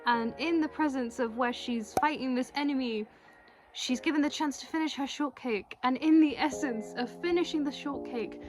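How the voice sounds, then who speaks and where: A young woman hosts a chatty vlog in a fairly high voice. She is expressive and bubbly, with casual slangy phrasing. Music plays clearly behind her. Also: moderate pace, low-quality recording. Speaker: cosplay video creator and host. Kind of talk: chatty vlog introduction to camera.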